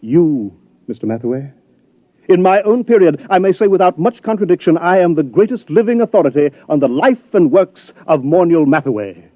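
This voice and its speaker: A man's voice: a little high, lively intonation, moderate pace, no echo. A man playing a grandiose, fussy art scholar who has traveled back from the future, in a 1950s radio drama. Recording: muffled old radio broadcast.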